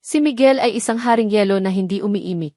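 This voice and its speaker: A woman's voice: medium pitch, brisk, dark tone, no room sound, studio quality. A woman narrating a dramatic romance story from a script.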